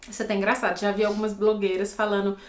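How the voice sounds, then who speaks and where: A young Brazilian woman with a Brazilian Portuguese accent, a guest chatting casually, telling stories lively and with laughter. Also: medium pitch, racing, reverberant. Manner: lively storyteller, laughs and acts out small scenes. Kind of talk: casual chat.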